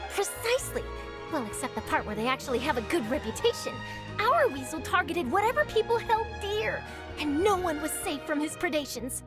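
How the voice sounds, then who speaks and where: A woman playing an eager young journalist chasing a scoop, brisk, upbeat and theatrical. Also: high-pitched, very animated. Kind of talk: video game voice acting. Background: game score music.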